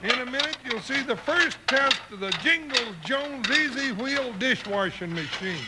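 An actor playing a big, folksy, blustering American deputy in an old-time radio western, with grumbling backwoods talk. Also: male voice, very high voice, lively intonation, moderate pace. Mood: eager, chatty. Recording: noisy old radio broadcast recording.

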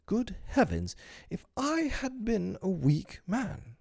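A man's voice: high-pitched, wildly swooping pitch, takes it slowly, vocal fry, darkish tone, breathy edge, dry recording, studio quality, pitched above the performer's own voice, heavily acted. A man reading an audiobook, voicing a pompous, sentimental middle-aged father who talks in a grand, self-pitying way. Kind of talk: audiobook character voice.

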